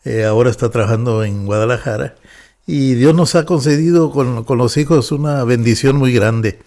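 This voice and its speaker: Measured Mexican pastor in his fifties. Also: mid-range voice, a little mellow. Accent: Mexican Spanish. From northwest Mexico. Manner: measured, with frequent "eh" fillers. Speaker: pastor and guest. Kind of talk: relaxed podcast interview.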